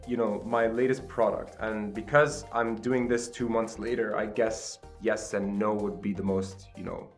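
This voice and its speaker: A man's voice: lowish voice, steady tone, unhurried, hardly any pauses, soft background music. A man in his late twenties from Qatar hosts his own channel. He talks to the camera in a casual, upbeat, self-deprecating way and often tags sentences with "right?", on mediocre home-recorded sound. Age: late 20s. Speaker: channel host. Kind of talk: casual vlog talk to camera. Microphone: camera with self-admitted poor sound.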